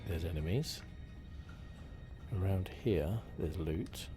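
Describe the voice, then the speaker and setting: Deep-voiced man doing ASMR game commentary. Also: expressive, slow. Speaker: gaming channel host. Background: game music.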